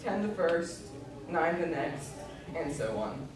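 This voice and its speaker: A woman's voice: low voice, very animated, takes it slowly, crowd noise, heavily acted. A woman in readers' theatre playing a sorrowful, easily offended Mock Turtle with a ponderous manner. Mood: wistful. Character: sorrowful, huffy old Mock Turtle telling schooldays. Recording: echoey noisy room from far off.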